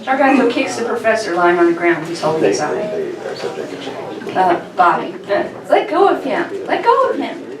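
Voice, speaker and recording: A woman's voice: mid-range voice, very animated, moderate pace, crowd noise. A woman reading scripted stage directions as narrator at a table read. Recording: echoey room, distant mic, people around.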